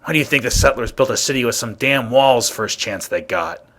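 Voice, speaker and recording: A man's voice: mid-range voice, animated, brisk, bright, forceful tone. An actor playing a hostile, proud man guarding his family's legacy in a video game, combative and scornful. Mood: scornful anger. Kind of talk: video game character dialogue.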